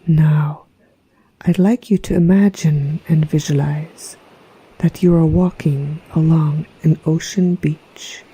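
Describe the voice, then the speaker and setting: A woman narrating a guided meditation in a soft, breathy, half-whispered voice with a gentle, soothing, hypnotic manner. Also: low voice, steady tone, slow pace, low-quality recording. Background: relaxation music.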